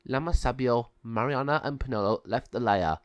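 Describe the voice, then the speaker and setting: A man's voice: medium pitch, moderate pace, smooth, dry recording. A man reading a children's adventure story aloud as a scripted narration.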